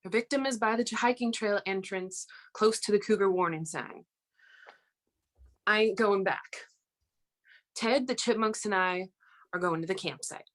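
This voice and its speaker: A woman's voice: medium pitch, normal speed, long pauses, lightly acted. Woman playing wary, stubborn forest animal. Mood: suspicious, reluctant. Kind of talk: staged reading acting.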